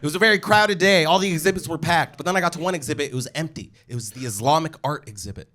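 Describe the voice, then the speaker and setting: A man's voice: on the high side, very fast, bright, forceful tone. A man with a Californian American accent doing stand-up comedy, telling stories in a casual, profane, slangy way. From United States, California. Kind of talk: stand-up comedy storytelling to a live audience. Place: live comedy show with audience.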